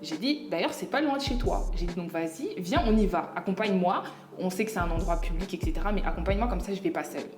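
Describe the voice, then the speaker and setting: Young woman vlogger, lower voice, animated storytime delivery, soft music bed. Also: fast. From Belgium. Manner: chatty, animated, laughs often, mixes in English phrases. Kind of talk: casual storytime monologue.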